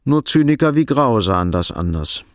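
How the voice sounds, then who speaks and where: A man narrating a radio drama in a sober, measured, literary storytelling style. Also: medium pitch, big pitch swings, no echo, studio quality.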